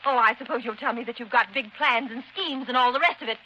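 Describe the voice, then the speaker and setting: A woman's voice: on the high side, fast, pushed and bright. A woman playing a plucky young captive, anxious yet defiant, acting dramatically in a 1930s radio play. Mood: defiant scorn. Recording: muffled, hissy 1930s radio recording.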